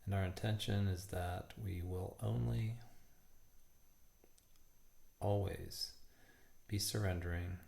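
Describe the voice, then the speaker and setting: A man leading a guided meditation in a calm, measured way, in short phrases with long pauses. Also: sits low, creaky.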